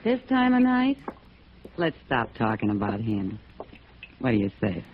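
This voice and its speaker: An actress playing a bitter, flirtatious, hard-drinking wife in a radio noir drama, languid and evasive. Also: female voice, extremely low pitch, huge pitch swings, a little slow, slight background noise, exaggerated voice. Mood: sultry, teasing. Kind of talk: 1950s radio noir acting. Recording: dull vintage radio recording.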